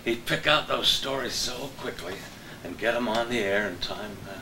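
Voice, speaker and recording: A man's voice: mid-range voice, moderate pace, sharp tone, slight background noise. An older man reminiscing casually in a folksy, agreeable way. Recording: distant mic in echoey room.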